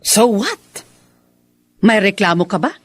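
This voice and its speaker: Casting: a scornful Filipino wife for radio melodrama, mid-pitched, very expressive, cool and defiant, close dry sound. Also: female voice, unhurried, no echo. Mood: cold, defiant. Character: defiant, scornful second wife accused of infidelity. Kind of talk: Filipino radio drama acting.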